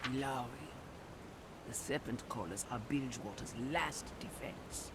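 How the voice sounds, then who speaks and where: An actor playing a stern, skeptical male elder in a fantasy game, measured and formal as he questions. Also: mid-range voice, close to an everyday voice. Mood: stern, doubting. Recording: noisy ambient background.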